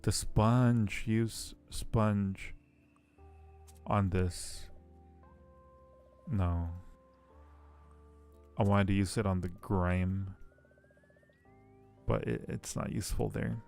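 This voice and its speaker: Male game streamer, fairly deep with vocal fry, chatting casually and muttering through each move. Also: fairly even intonation, very slow, pauses often, a little mellow, faint music behind. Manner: thinks aloud while playing. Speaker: streamer playing a point-and-click game. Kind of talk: casual livestream chat with read-aloud game text.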